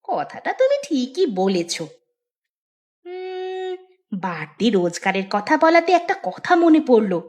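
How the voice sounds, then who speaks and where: A woman's voice: high voice, big pitch swings, takes it slowly, a little mellow, heavily acted. A woman playing a cunning, greedy village wife who plots and coaxes in a theatrical cartoon manner. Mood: scheming. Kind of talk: Bengali cartoon dialogue.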